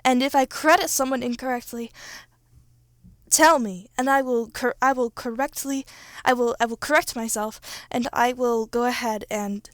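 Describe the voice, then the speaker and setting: Young female creator, slightly high voice, unscripted and informal, halting delivery, very dry close recording. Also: no room sound. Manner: informal, halting and self-correcting, with mild swearing. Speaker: ASMR roleplay creator and channel host. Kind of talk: casual off-script chat to listeners.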